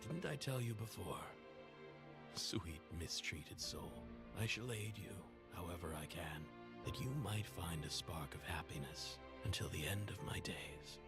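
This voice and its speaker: A man playing a very deep, stoic devotee. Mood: solemn. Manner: slow, solemn, formal. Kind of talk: comedic fan-dub acting. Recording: fan dub with loud background music.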